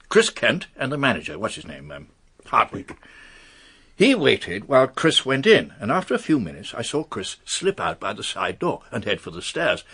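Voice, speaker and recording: Casting: nervous upper-class English knight, radio drama, husky mid-range voice, hesitant, lively intonation. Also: male voice, mid-range voice, heavily acted. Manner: hesitant, stammering, polite. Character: anxious titled English gentleman confessing under questioning. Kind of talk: British radio detective drama acting.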